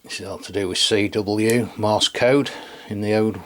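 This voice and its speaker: Low, flat-toned Englishman. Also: normal speed. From England. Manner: rambling, colloquial, with tag questions. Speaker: radio reviewer and video host. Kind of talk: casual hands-on product demonstration.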